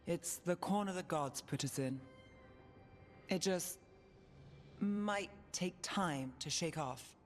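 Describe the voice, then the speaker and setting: Guarded fighter in echoing hall. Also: female voice, fairly low pitch, takes it slowly, pauses often. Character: guarded, battle-worn fighter loyal to the cause. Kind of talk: video game voice acting. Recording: long hall reverb, music behind.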